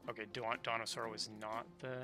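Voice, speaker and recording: Male streamer, mid-pitched, loose rambling chat with game music clearly underneath. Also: little pitch movement, quick pace. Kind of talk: casual chat during livestream. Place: indoors, game audio and music behind.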